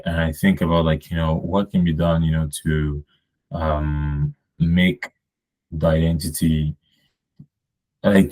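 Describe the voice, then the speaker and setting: A man's voice: a bass voice, rough recording. A man whose first language is French, talking casually as a podcast guest and rambling with many fillers and restarts. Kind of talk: casual conversation.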